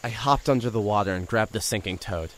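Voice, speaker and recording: A man's voice: fairly low pitch, brisk, background noise, lightly acted. A man playing a young reporter who narrates an audio drama in a wry, conversational way.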